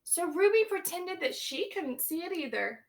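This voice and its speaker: A woman's voice: very high-pitched, lively intonation, normal speed. A woman teacher reading a picture book aloud to young children, stopping to ask them questions and explain words.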